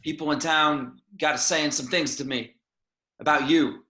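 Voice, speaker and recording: A man playing a controlling, possessive middle-aged father in a home table read, speaking in a low, creeping, threatening way in an echoey room. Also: fairly even intonation, moderate pace, bright, forceful tone. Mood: menacing. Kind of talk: amateur table read over video call.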